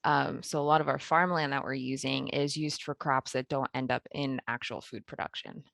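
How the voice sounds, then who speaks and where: A woman from Pennsylvania, a podcast guest, explaining her work in a measured way with soft laughs. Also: very deep voice, fairly even intonation, gravelly, no echo. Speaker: podcast guest, food project founder. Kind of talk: interview conversation.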